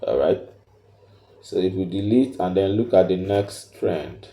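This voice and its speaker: Guy doing a step by step tutorial, really dark mellow voice, halting n repetitive, roomy echo. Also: low voice, creaky. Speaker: trading tutorial presenter. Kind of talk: unscripted step-by-step chart tutorial. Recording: roomy, echoey voice recording.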